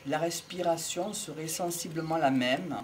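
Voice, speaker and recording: A middle-aged man gives an improvised, reflective monologue in a measured way, pausing between short phrases. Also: on the high side, a little hiss or hum. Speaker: amateur lecturer on psychology and philosophy. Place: outdoors in an open field by trees. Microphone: small wired mic held near the mouth.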